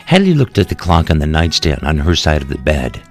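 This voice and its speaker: A man's voice: very deep voice, sing-song, brisk. A man reading a scripted story as a dramatic narrator. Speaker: author narrating own story. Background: music.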